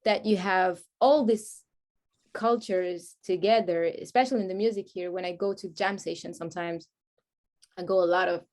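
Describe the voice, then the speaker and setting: A woman's voice: lowish voice, steady tone, normal speed, darkish tone. Woman, casual and searching. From France (grew up in Paris), born in Israel. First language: Hebrew. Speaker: guest, music artist. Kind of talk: casual conversational interview.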